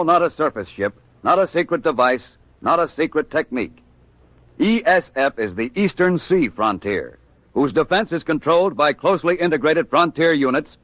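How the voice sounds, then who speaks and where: A man's voice: fairly high pitch, fairly bright tone, no echo. A man with an American accent delivering 1940s wartime radio narration in a dramatic, declamatory, emphatic way.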